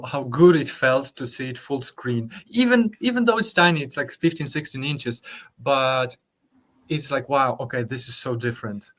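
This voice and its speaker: A young man with a Polish accent talks through his ideas in an interview. He speaks carefully, pausing often and restarting as he looks for the right English word. His delivery is reflective and unhurried. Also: medium pitch, a bit bright. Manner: thoughtful, hesitant, many fillers and restarts. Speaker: guest artist. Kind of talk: conversational interview.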